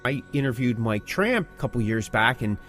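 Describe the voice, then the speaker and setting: A man's voice: medium pitch, very animated, moderate pace, fairly bright tone, faint music behind. A man co-hosting a conversational podcast, speaking emphatically in short phrases and posing rhetorical questions. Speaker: podcast co-host and music interviewer. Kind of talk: conversational music industry podcast talk.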